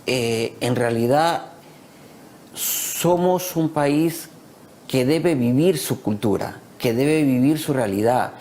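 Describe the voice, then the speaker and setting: A man's voice: mid-range voice, slow, a little mellow. A Peruvian man with a Peruvian Spanish accent speaking as a guest on a talk show, emphatic and proud, repeating phrases to drive his points home. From Peru. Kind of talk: passionate talk show discussion.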